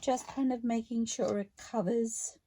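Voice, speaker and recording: A woman with a slightly croaky voice, chatting her way through a craft project step by step in an unhurried, friendly tone. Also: on the high side, steady tone, dark tone, slight background noise. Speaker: craft vlogger. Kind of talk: relaxed craft narration while working.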